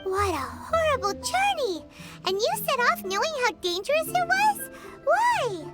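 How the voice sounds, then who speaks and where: A woman voicing a tiny, childlike, excitable fairy companion in a video game, squeaky and inquisitive. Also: measured pace, full character voice. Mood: surprised, curious. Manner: exclamatory, inquisitive. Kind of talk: video game dialogue acting. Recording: loud game music behind the voice. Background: background music.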